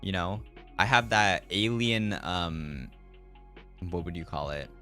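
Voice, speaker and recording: A man's voice: deep voice, expressive, takes it slowly, pushed and bright. A man who streams as a virtual character, chatting casually to live viewers in a rambling, reflective way and often repeating his own phrases. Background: background music.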